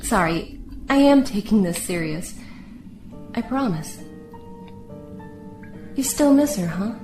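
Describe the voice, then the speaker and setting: A woman's voice: mid-range voice, animated, slow, darkish tone. An actress playing a guarded, heartbroken female prisoner in an audio drama, aloof and fatalistic, speaking with dramatic emphasis. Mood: gentle teasing. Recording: roomy echo with music underneath.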